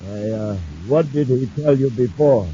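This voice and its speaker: A man's voice: medium pitch, a little slow, dark tone, natural voice. An actor playing a desperate, menacing office manager turned gunman in a 1950s radio serial. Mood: evasive. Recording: muffled, noisy old radio recording.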